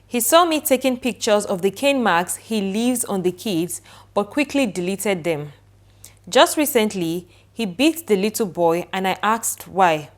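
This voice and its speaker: A young woman co-hosting a podcast, calm and soft-spoken, who reads a listener's message aloud. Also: medium pitch, unhurried. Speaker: podcast co-host. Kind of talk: casual podcast chat.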